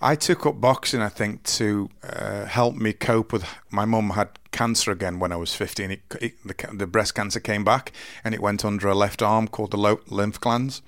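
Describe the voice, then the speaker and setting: A man in his forties with a deep voice, telling his life story slowly and earnestly in an interview, often restarting his sentences. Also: steady tone, a bit bright, dry recording, clean studio sound. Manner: slow, halting, earnest storytelling with many restarts. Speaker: interview guest. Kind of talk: candid personal interview.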